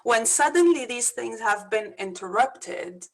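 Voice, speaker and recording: A woman's voice: a little high, measured pace, poor audio. Earnest Lebanese woman business coach. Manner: earnest, energetic, motivational. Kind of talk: interview over video call.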